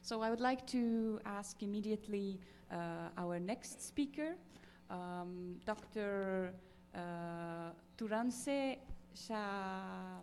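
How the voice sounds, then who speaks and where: A woman moderating a conference session, halting with many fillers. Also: mid-range voice, darkish tone, slight background noise. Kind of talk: introducing speakers at a conference.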